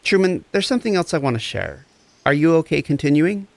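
An actor playing a gentle, encouraging empath therapist, speaking in a reassuring, deliberate way in a scripted audio drama. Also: male voice, a little high, big pitch swings, gravelly, no room sound. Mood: calm, supportive. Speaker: actor in a fiction podcast.

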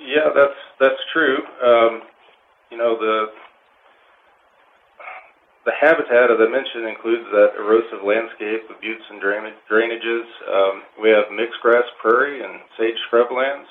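A man answering interview questions as a park official, explaining in a halting, measured way with technical wording. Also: medium pitch, fairly even intonation. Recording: phone-like line with clear noise.

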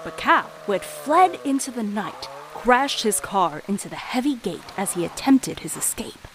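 A woman playing a wry, deliberate storyteller narrating a murder tale in an audio drama. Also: mid-range voice, sing-song, noisy background, close to an everyday voice. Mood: grave.

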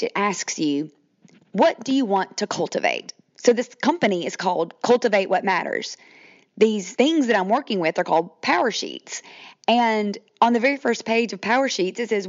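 A Southern woman in her thirties hosts her podcast, talking straight to listeners in a chatty, friendly way. Her voice is croaky from allergies. The recording sounds a little dull. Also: mid-range voice. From Mississippi, US. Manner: chatty, says "y'all", addresses listeners directly. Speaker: podcast host. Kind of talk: casual podcast monologue.

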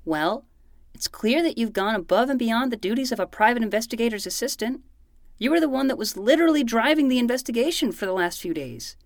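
A woman's voice: on the high side, animated, fairly quick, no room sound, clean studio sound. A woman playing an earnest, self-important twelve-year-old boy detective in a fiction podcast, speaking in a formal, theatrical way.

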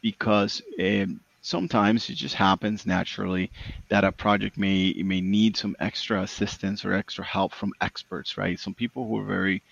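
A man's voice: fairly low pitch, flat delivery, normal speed, gravelly, dull audio. A man who founded a consulting firm, talking earnestly in an interview and often adding "right?". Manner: earnest, repeats words, tag "right?". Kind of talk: business interview.

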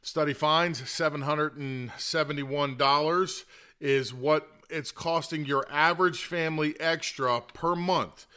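Male political talk host, higher register, sharp bright tone, opinionated and plain-spoken, conversational monologue. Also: steady tone, a little slow, smooth.

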